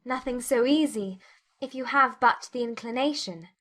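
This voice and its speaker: A woman playing a witty, spirited young Regency gentlewoman in a dramatized audiobook, teasing and articulate in formal speech. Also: fairly high pitch, moderate pace. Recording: music bed under the voice.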